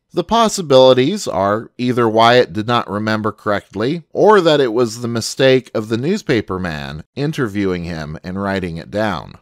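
A man narrates a scripted history documentary. His voice is fairly low, and his intonation rises and falls in a very lively way. The recording is dry and close, with no room sound. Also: dry recording.

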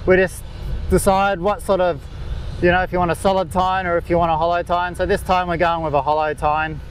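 A man, explaining golf green work in a relaxed way with many fillers, recorded outdoors on a course. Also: high-pitched, fairly quick, slight background noise. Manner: laid-back, lots of "like" fillers. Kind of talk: casual explanatory interview.

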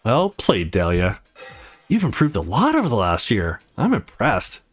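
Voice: mid-range voice, wildly swooping pitch, a little slow, no echo, faint music behind, pitched below the performer's own voice. A let's player voicing a gracious, muscular champion woman in a visual novel, politely giving praise.

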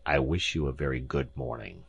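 A man's voice: very deep voice, normal speed, creaky, husky, no echo, lo-fi sound, voice pushed down, heavily acted. A man voicing an arrogant, imperious professor in an audiobook, pompous and lecturing as he talks down to his listener. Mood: curt, dismissive. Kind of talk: audiobook character acting.

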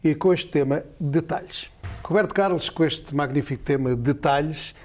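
A middle-aged man with a European Portuguese accent hosting a studio interview, briskly prompting his guest. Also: fairly high pitch, a little mellow. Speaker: TV show host. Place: TV studio set, seated in a leather chair.

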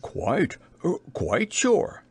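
A man's voice: fairly high pitch, wildly swooping pitch, drawn-out words, husky, voice pushed up, full character voice. A man voicing a simple, friendly toy bear in a storybook, ponderous and earnest.

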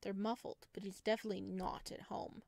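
Expressive woman reading a visual novel, dry sound. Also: mid-range voice, a little fast, vocal fry, no echo. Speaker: video game streamer reading aloud. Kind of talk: expressive visual novel read-aloud with commentary.

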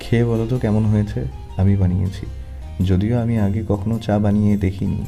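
Very dark, low-voiced shy husband acted by a man. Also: steady tone, fairly quick, vocal fry, soft background music. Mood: shy, tender. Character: shy young doctor husband. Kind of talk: audio story voice acting.

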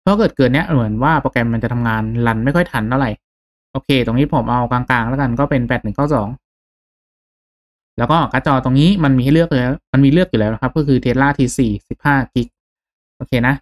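A man giving an instructional, step-by-step software tutorial in a casual and polite way. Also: medium pitch, fast talker. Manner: instructional, frequent polite particles. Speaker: tutorial host.

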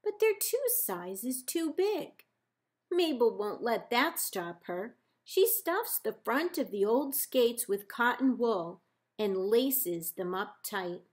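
A woman librarian reading a children's picture book aloud in measured, deliberate phrases with pauses. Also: on the high side, big pitch swings. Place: indoors, book held up to camera.

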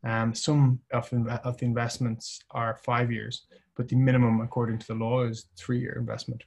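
A middle-aged man speaks over a video call in a flat, even tone, giving a slide presentation. He talks in measured phrases, often pausing on fillers and restarting a sentence. His voice sits at an ordinary pitch for a man. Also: creaky, slightly rough. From Ireland. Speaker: company chief presenting at a webinar. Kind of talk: webinar presentation.

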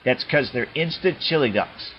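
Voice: medium pitch, animated, moderate pace, highs cut off, a little hiss or hum, natural voice. A performer voicing a cocky, wisecracking male blue hedgehog hero in a fan dub of a cartoon, with music behind.

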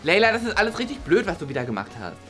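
A man's voice: sits high, sing-song, rapid-fire, over music. A young man playing a goofy, pushy, whiny schoolboy in an improvised classroom sketch. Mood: embarrassed, whiny. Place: small classroom-like office indoors.

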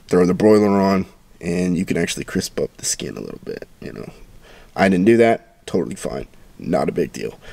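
A man in his thirties with a fairly low voice talks through a home recipe in a loose, chatty way, full of ums and quick restarts. The recording is dry and close, with almost no room sound. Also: warm tone, dry recording. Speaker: home cooking vlogger. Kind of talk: casual cooking instructions and tasting. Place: parked car and home dining room.

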